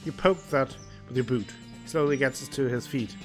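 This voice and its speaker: A man streaming a game, reading its text aloud and joking between the lines. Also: normal speed. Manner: playful, reads narration with exaggerated pitch swings. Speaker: gameplay streamer. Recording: music playing underneath.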